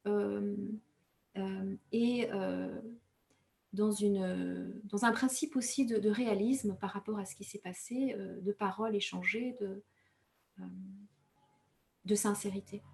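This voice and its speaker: A woman from Rwanda, raised speaking Kinyarwanda, giving thoughtful interview answers as an author, measured and pausing often to find her words. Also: on the high side, vocal fry, darkish tone. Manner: thoughtful, measured, with frequent hesitations and self-corrections.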